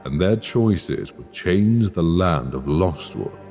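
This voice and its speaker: A man narrating a dark fantasy tale in a measured, portentous storybook manner. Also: deep voice, lively intonation, long reverb tail, soft background music.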